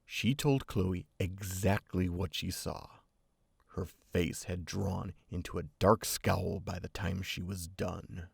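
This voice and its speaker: A man narrating a story in a measured, reflective way. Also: deep voice, steady tone, vocal fry, breathy edge, no echo, studio quality, heavily acted. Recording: loud music bed under voice.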